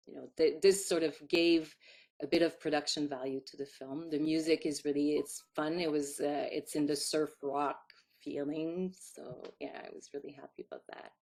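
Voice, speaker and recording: A woman's voice: deep voice, a little mellow, low-quality recording. A woman in her fifties from Quebec, a native French speaker with a Quebec French accent, chatting in anecdotes as a filmmaker being interviewed. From Quebec, Canada. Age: 50s. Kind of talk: casual interview.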